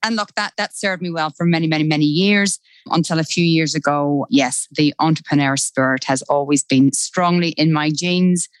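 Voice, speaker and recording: A middle-aged Irish woman with a low, deep voice chats eagerly as a podcast guest. Her speech is conversational and full of enthusiasm. The recording is dry and close, with no room sound. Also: normal speed, few pauses, dry recording, poor audio. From Ireland. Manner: chatty and enthusiastic, often starts with "look". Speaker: podcast guest, time management expert. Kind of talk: casual interview conversation.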